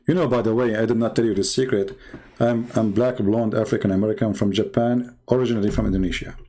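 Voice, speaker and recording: A man's voice: lowish voice, fairly even intonation, fast talker, warm tone. A man from the Middle East, a native Arabic speaker with an Arabic accent, hosting a casual live stream in a sarcastic, mocking way. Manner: sarcastic, mocking, repeats phrases for emphasis. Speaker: live show host and religious apologist.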